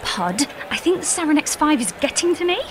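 An actress playing a sarcastic, exasperated young heroine in a sci-fi audio comedy, blunt and incredulous. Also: female voice, high voice, sing-song, brisk. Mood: bewildered. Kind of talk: audio comedy drama acting. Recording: music under the voice.

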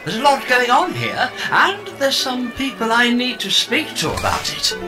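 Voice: mid-range voice, wildly swooping pitch, normal speed, over loud music. A performer playing a jaunty, cocky robot sidekick in an audio comedy, with breezy, slangy patter. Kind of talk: audio comedy drama acting.

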